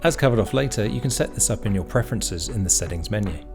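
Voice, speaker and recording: A man reading scripted instructional narration in a measured, explanatory way, as a tutorial narrator. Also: fairly low pitch, lively intonation, vocal fry. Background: background music.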